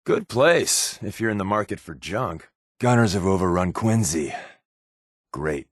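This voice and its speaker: Wry spy sidekick from a post-apocalyptic game, kinda low, really expressive pitch, joking and laid back. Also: male voice, unhurried, no room sound, studio quality. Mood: subdued, somber. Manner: wry, sardonic, joking asides. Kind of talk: video game companion voice acting.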